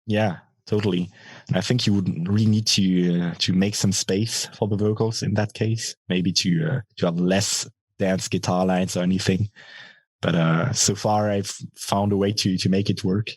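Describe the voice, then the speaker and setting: A young man with a low, bassy voice that has a slightly husky edge, speaking as an interview guest. He answers thoughtfully, pausing often with fillers, and the sound is close and dry with little room. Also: steady tone, no echo. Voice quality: bass voice. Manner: thoughtful, hesitant with many fillers. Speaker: guitarist and vocalist, guest.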